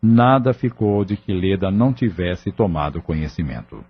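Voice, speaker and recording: A man's voice: low-pitched, lively intonation, moderate pace, husky, lo-fi sound, heavily acted. A man with a Brazilian accent narrating a scripted audio novel as its storyteller. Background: music.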